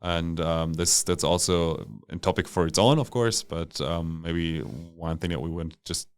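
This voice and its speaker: Guy guest on a podcast, deep clear voice, dry sound, kinda halting, explains stuff casually. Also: steady tone, no room sound. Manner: hesitant, halting, repeats words. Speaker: startup founder, podcast guest. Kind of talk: casual interview answers.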